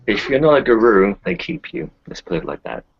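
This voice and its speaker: Man playing a gravelly, courteous werewolf-tribe character. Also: mid-range voice, big pitch swings, fairly quick, pitched above the performer's own voice. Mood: courteous, unruffled. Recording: dull lo-fi voice recording.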